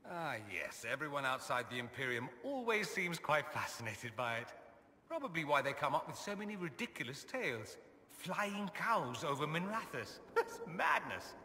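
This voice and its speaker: A man's voice: fairly high pitch, sing-song, normal speed, bright, forceful tone, in a large hall, a little hiss or hum, heavily acted. An actor playing a witty, vain male mage nobleman who talks in a sardonic, self-amused drawl. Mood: wry. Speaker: companion character. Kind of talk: video game dialogue.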